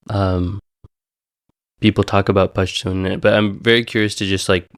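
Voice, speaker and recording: A man with a fairly low voice hosts a long-form interview. He talks in a reflective, conversational way and puts open questions to his guest. The sound is close and dry. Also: no echo. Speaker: podcast host.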